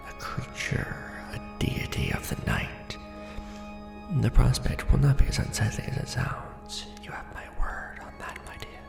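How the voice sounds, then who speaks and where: A man playing a courtly, brooding vampire lord in an ASMR roleplay, speaking formally and deliberately in a hushed, breathy, gravelly voice. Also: mid-range voice, fairly even intonation. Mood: persuasive, hushed. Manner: formal, hushed, deliberate, theatrical aristocratic address. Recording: roomy echo under background music. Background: music.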